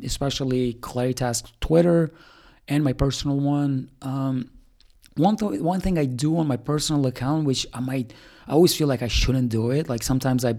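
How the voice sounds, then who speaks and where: A man talks through a casual update in a rambling way, with plenty of ums and restarts. His voice is low in brightness, mellow and dark, with a gravelly fry running through it. He sounds like a startup founder thinking out loud. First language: Albanian. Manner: rambling, with many fillers and restarts.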